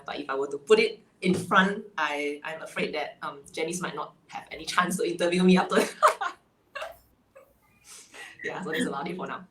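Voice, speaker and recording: Low-voiced Malaysian woman, echoey room. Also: a bit bright. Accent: Malaysian English. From Malaysia (Sarawak). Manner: chatty, laughs between phrases. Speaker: guest. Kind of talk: casual interview chat. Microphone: video call. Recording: echoey room, faint ambient noise.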